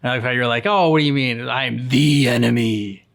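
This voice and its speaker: A man co-hosting a casual reaction chat, rambling and halting, with some casual swearing. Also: mid-range voice, creaky, fairly bright tone. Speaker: reaction channel co-host.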